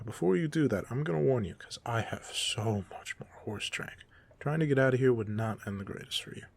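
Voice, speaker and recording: A man acts out an off-duty supervillain in an intimate audio roleplay. His voice is husky with a gravelly fry at a middling pitch. He talks casually and teasingly, a goofy, self-deprecating bad guy with a soft heart. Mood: wry, casual. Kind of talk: ASMR roleplay monologue, casual conversational acting.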